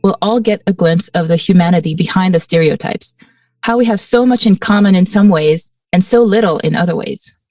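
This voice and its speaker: A woman from Mauritius co-hosting a podcast, asking questions in a measured, friendly way. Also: on the low side, a little mellow, dry recording. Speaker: podcast co-host. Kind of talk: casual conversational podcast chat. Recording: dry and slightly distant.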